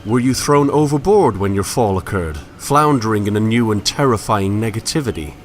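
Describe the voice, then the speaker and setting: A man's voice: fairly low pitch, moderate pace, slight background noise, lightly acted. A man playing a solitary, brooding wanderer who muses in formal, eloquent language in a scripted game monologue. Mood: brooding, intimate. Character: solitary, brooding male wanderer musing philosophically on a fallen world. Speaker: narrator. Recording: long hall reverb, music underneath. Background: music.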